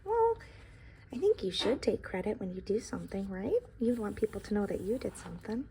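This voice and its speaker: A woman's voice: medium pitch, very animated, fairly quick, dark tone, a little hiss or hum, rough recording. A woman teacher reading a picture book aloud to young children, expressively, with explanatory asides addressed straight to her listeners.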